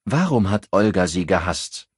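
A man's voice: deep voice, very animated, moderate pace, husky, dry recording, studio quality, exaggerated voice. A man voicing a brusque, annoyed woman police investigator, curt and accusing, in an audiobook crime story. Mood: probing.

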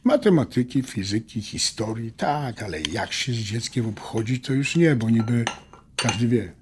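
An actor playing a calm, philosophical middle-aged husband and father in a naturalistic audio drama. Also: medium pitch, normal speed, natural voice. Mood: earnest, reflective. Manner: philosophical, conciliatory. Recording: light background noise with tableware clinks.

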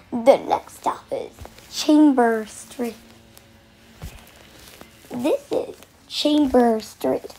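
A woman reading scripted transit announcements in clipped, formulaic, clearly separated phrases. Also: high-pitched, warm tone. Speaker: train announcer. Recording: noisy recorded train announcements.

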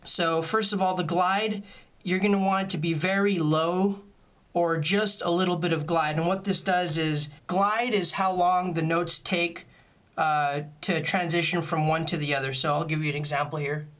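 A man's voice: a little high, steady tone, normal speed, fairly bright tone. A man giving a casual step-by-step tutorial in a plain-spoken, explanatory way. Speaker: music gear tutorial presenter.

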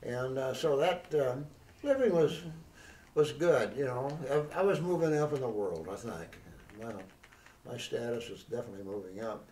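An elderly man in an oral history interview, recalling his past in a halting, rambling way with many restarts. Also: mid-range voice, animated, a little mellow, a little hiss or hum. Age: older. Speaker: veteran interviewee. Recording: roomy, distant mic.

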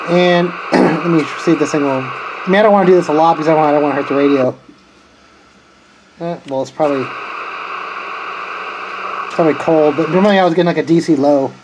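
A man chatting informally as he hosts a hands-on demonstration, thinking aloud as he goes. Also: a little high. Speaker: host of electronics and radio unboxing videos. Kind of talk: casual chat, hands-on demonstration. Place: indoors at a cluttered desk. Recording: noisy home camera recording. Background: clear background noise.

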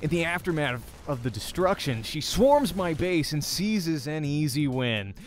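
A man's voice: on the high side, big pitch swings, normal speed, slight background noise. A man doing a let's play, reading a visual novel's narration aloud with expression. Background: faint music.

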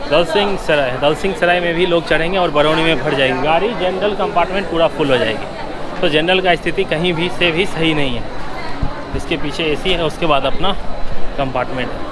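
A man in his thirties narrating a train travel vlog to camera in an informative, explanatory way. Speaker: travel vlogger. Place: on a moving train and at open railway platforms. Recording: loud train, crowd and outdoor noise. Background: train rumble, station crowd.

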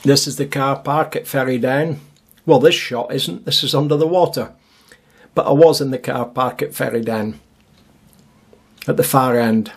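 A man's voice: medium pitch, moderate pace, pauses often, husky. A man narrating his own seaside video in a casual, chatty way with wry asides. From Scotland. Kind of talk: casual voice-over commentary.

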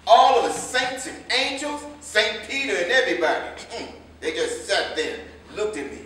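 A man's voice: high voice, sing-song, moderate pace, bright, forceful tone, heavily acted. An American man acting a sentimental, pleading drunkard who rambles emotionally in a staged play reading. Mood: tearful, reminiscing. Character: maudlin, pleading drunkard, sentimental jitney driver. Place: indoor staged reading, recorded from afar. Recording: echoey, noisy stage from far off.

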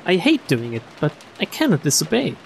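A streamer reading a visual novel aloud in an expressive way, with casual, joking asides. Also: medium pitch, a little fast, creaky, a little hiss or hum. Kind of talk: let's play read-aloud with casual asides.

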